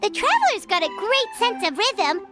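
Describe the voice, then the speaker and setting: A woman's voice: big pitch swings, moderate pace. A woman playing a tiny, childlike, perky fairy companion in a video game, blunt and curious. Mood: curious, helpful. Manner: squeaky, perky, speaks in third person. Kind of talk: video game cutscene acting. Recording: music bed behind voice.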